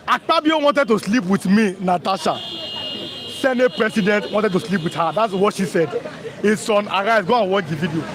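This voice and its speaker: A man with a Nigerian accent hosting a live news review show, speaking in a halting way and repeating phrases. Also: high-pitched, fairly bright tone. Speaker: talk show host. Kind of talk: live news review, reading viewer comments.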